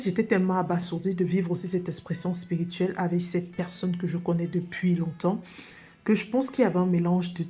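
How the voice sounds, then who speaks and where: A woman's voice: lowish voice, dark tone, breathy edge. A woman from Cameroon sharing a personal faith testimony as a podcast guest, earnest and hesitant, with frequent fillers and restarts.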